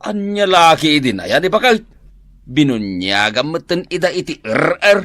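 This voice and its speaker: A man's voice: a little high, big pitch swings, normal speed, a bit bright, no room sound. A man acting as a carefree, joking, hard-drinking husband in a comic radio drama, with an Ilocano accent. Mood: teasing.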